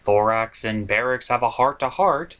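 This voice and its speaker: A man's voice: medium pitch, moderate pace, a bit bright. A man giving a comedic recap as a cartoon reviewer, sarcastic and mocking in manner.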